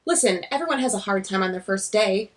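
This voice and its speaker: A woman voicing a friendly, teasing, energetic teenage girl in a graphic novel read-aloud, chatty and emphatic. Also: medium pitch, quick pace, pitched below the performer's own voice, natural voice.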